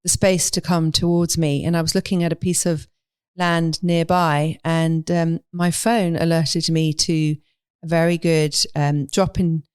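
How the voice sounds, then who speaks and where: A woman from London with a London English accent, talking thoughtfully and in a measured way as an interview guest. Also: low voice, little pitch movement, darkish tone, smooth, dry recording, clean studio sound. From London, England. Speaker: podcast guest, animal sanctuary owner. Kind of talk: reflective interview conversation.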